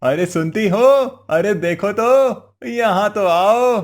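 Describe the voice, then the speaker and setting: Crafty cartoon villager husband, male actor, higher-pitched, coaxing, theatrical and exclamatory. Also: takes it slowly, slight background noise. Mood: gleeful. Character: crafty cheerful village husband, wheedling trickster. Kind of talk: Hindi cartoon moral story voice acting.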